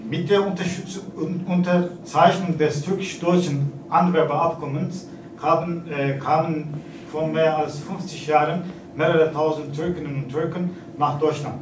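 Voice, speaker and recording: Redner, Mann Anfang vierzig, türkischer Akzent, leicht hohe Stimme, förmlich und stockend, hallig und entfernt aufgenommen. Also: male voice, fairly high pitch, fairly even intonation. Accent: Turkish. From Turkey. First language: Turkish. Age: 40s. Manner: formal, with halting hesitations and fillers. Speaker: consulate representative giving a speech. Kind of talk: formal speech at an exhibition opening. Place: event hall. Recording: echoey hall, distant, noisy.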